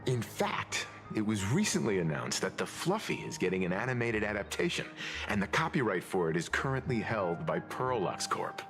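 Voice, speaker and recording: A genial male companion from an anime-style game. He sounds composed and polite, explaining things in measured phrases with very varied intonation, over clear music. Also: fairly low pitch, sing-song. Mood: calm, genial. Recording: music behind the voice.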